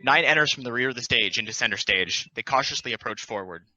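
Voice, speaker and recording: Very bright, crisp-edged male narrator. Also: medium pitch, lively intonation, fast talker, no room sound, dull audio. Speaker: narrator reading stage directions. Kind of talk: scripted narration at play table read.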